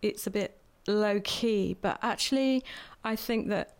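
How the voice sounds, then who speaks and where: A woman speaking earnestly on a church panel, measured, pausing often with fillers. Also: medium pitch, clear, resonant tone. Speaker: church speaker who prophesies.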